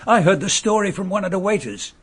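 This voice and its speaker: A man's voice: high voice, expressive, fast, a bit bright, slightly rough, full character voice. An actor playing a bitter, mocking male murderer who posed as a lord, in a radio drama, speaking. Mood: sneering. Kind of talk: vintage radio drama acting.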